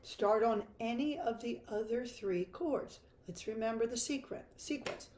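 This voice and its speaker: An older woman with a hoarse, froggy voice, teaching a chatty guitar lesson live from her home music room. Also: fairly high pitch, unhurried, a little mellow, echoey room. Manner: chatty, self-deprecating, laughs easily. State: hoarse from laryngitis.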